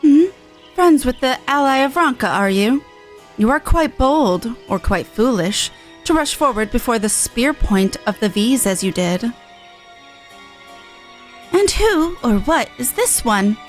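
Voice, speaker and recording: A woman's voice: on the high side, very animated, soft background music. Woman playing a proud, wary warrior woman. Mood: wary, challenging. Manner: formal, measured, questioning. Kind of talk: video game story dialogue read aloud.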